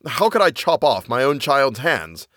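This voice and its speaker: A man's voice: medium pitch, sing-song, a little slow, fairly bright tone, no echo, clean studio sound. A man voicing a poor, fearful miller and father in a storybook tale, earnest and pleading. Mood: horrified.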